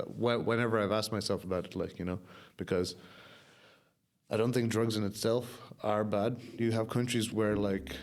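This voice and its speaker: A young man with a fairly low voice speaks as a podcast guest. His Irish accent is toned down with some American colouring. He tells things in a candid, informal way, with slang and swearing. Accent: softened Irish, somewhat Americanized. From Ireland. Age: young adult. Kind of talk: candid casual storytelling.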